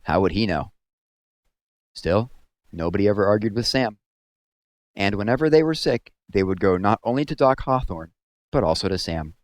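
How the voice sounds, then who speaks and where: Low-voiced man reading a novel aloud. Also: animated, moderate pace, long pauses, dry recording. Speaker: read-aloud narrator. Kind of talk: scripted storybook narration.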